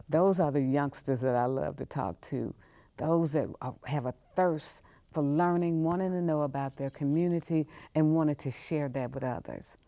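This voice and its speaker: An older woman speaks low and mellow, with a dry, close sound. She answers conversationally as an interview guest, leaning on repeated phrases for emphasis. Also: vocal fry, no echo. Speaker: museum professional guest.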